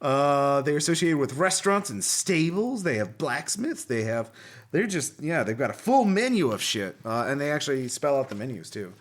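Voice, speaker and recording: A man's voice: fairly high pitch, sing-song, moderate pace. A man hosting a casual chat about gaming magazines, with wry, jokey asides and some swearing. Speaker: podcast host.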